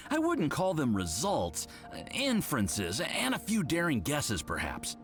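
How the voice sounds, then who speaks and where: An eager young research assistant from a video game, with a fairly high, extremely animated voice. He is nervous, earnest and apologetic, with faint music underneath. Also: unhurried, heavily acted. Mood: eager, bookish. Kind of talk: video game character dialogue.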